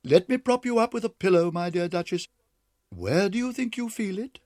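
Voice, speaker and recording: A man's voice: high voice, expressive, moderate pace, darkish tone, dry recording, clean studio sound, voice pushed up. A man voicing a prim, proper female cat hostess who speaks with polite disapproval. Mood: severe.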